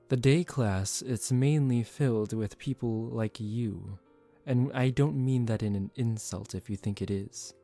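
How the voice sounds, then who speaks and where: Man acting as a slow, soft-spoken vampire aristocrat. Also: lowish voice, no echo. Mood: guarded, calm. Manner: calm, measured, formal, gently commanding. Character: composed, aristocratic young male vampire, protective and secretive. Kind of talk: soft-spoken ASMR roleplay.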